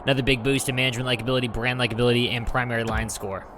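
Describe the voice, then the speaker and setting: A man's voice: medium pitch, level tone, very fast, noisy background. A man hosting a gaming video, giving casual, explanatory gameplay commentary in an informal way. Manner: informal, reads game text aloud.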